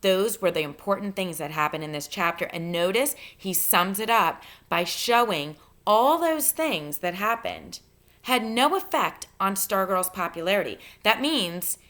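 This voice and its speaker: A woman's voice: mid-range voice, animated, measured pace, fairly bright tone. A woman reading a novel aloud as a teacher and explaining it directly to her students.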